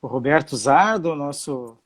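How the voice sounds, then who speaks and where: A middle-aged man with a Santa Catarina Brazilian Portuguese accent hosts a live interview over a video call. His voice sits on the higher side, and his friendly delivery is full of fillers and small hesitations. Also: lively intonation, measured pace. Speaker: host.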